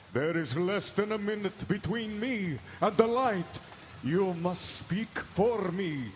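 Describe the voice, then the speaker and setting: An actor playing a menacing, commanding vampire count who chants slowly in live radio drama. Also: male voice, high-pitched. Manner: slow, incantatory, menacing. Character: ultra-creepy commanding male vampire count calling his servants. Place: large town hall stage. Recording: noisy live hall with long reverb.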